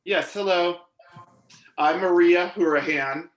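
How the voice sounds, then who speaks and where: Guy interpreting testimony at a hearing, kinda high voice, steady pace, roomy echo. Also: takes it slowly. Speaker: interpreter voicing a deaf witness's testimony. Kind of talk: first-person testimony at a public hearing.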